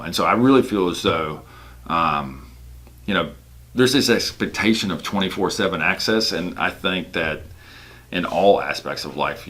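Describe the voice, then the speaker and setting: Deep-voiced man with a bright edge. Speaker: real estate broker. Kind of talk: casual explanatory talk about own work.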